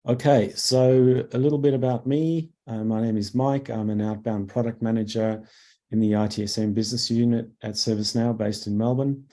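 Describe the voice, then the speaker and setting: A man with a croaky voice from a cough, presenting a webinar as a product manager, halting with fillers and clearing his throat. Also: medium pitch, steady tone, warm tone.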